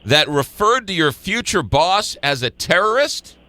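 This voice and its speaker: A man's voice: sits high, big pitch swings, measured pace, sharp tone, no echo. A man hosting a radio interview in a studio, stumbling and restarting his questions. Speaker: radio host.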